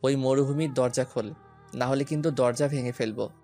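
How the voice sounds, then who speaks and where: A man acts out a possessive young man from a romantic audio drama. He is brash and commanding, teasing one moment and menacing the next, in a middling male pitch. A music bed plays behind him. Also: fairly even intonation, normal speed, dark tone, natural voice. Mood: demanding. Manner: taunting, stubborn, commanding. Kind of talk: Bengali romantic audio story acting.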